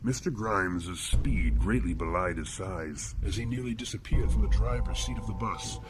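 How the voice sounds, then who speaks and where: An actor playing a brooding, eerie killer and artist who narrates a nightmare journey in ornate, grave, matter-of-fact language, in a horror audio drama. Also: male voice, sits low, normal speed, natural voice. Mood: grave, ominous. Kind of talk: scripted horror fiction narration. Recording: voice under loud dramatic music.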